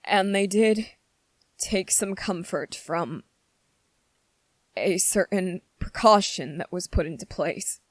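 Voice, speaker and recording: A woman voicing a gentle, anxious young prince in a fantasy ASMR roleplay, speaking politely and formally with hesitant, halting phrasing. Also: on the low side, dry recording. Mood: apologetic, reassuring. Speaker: voice actor in audio roleplay. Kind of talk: ASMR character roleplay, scripted fantasy monologue.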